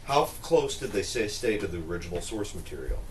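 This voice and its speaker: A man with a lowish voice and very varied intonation chimes in casually with questions. He sounds a little away from the mic. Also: very animated, a little fast. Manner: questioning, interjecting. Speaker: podcast panelist. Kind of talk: casual chat. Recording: slightly distant mic, faint noise.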